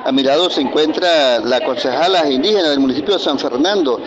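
A man's voice: a little high, brisk. A man reporting from the field for radio, asking brief questions in a plain reporting manner. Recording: muffled outdoor audio with crowd.

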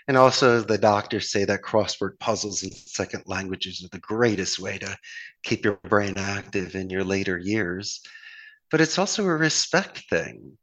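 Man, Philadelphia accent, low-pitched, encouraging, rambling, conversational advice. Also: rough recording. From Philadelphia area, United States. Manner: animated, folksy, encouraging, rambling with restarts. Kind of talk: casual chat, giving advice.

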